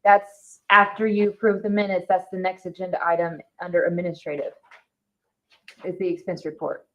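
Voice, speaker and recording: A staff woman explains procedure informally during a meeting. Her voice is on the low side and comes through a little dull and muffled. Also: flat delivery, normal speed. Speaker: meeting staff member who prepares the packet. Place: indoor town board meeting room.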